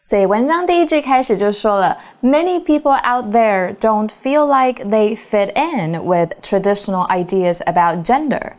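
A woman's voice: medium pitch, expressive, moderate pace, few pauses, a little mellow. A woman with a Taiwanese accent, a native Mandarin speaker, co-hosting an English lesson in a casual, explanatory way. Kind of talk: casual chat and bilingual explanation.

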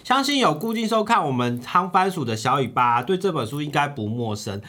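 A man co-hosting a book podcast, narrating from a script like a storyteller with drawn-out phrase endings. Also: fairly high pitch, very animated, sharp tone.

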